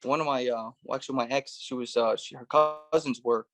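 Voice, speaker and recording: A man's voice: medium pitch, fairly even intonation, a little fast. A man in his thirties, born in Russia, telling his life story as a guest in a casual interview, with lots of "you know" and "right". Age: 30s. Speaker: guest, former police officer turned real estate investor.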